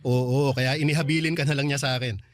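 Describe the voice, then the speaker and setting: An actor playing a calm young man in a Filipino radio drama, patiently explaining and defending himself. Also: medium pitch, fast talker, lightly acted. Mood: explaining, defensive. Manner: soothing, explanatory. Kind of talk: Tagalog radio drama acting.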